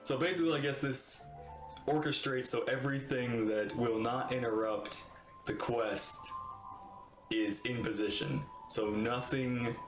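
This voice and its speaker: A man streaming a video game, chatting casually and rambling excitedly as he reacts. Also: mid-range voice, a little slow. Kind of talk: casual livestream commentary. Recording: roomy room sound with game music.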